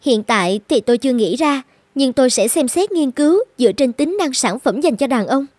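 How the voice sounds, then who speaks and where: A woman's voice: sits high, expressive, brisk, a little mellow, no room sound, clean studio sound. A woman voicing a curious, eager young creative trainee in a cartoon, speaking politely and inquisitively. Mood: polite, composed. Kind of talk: dubbed cartoon dialogue.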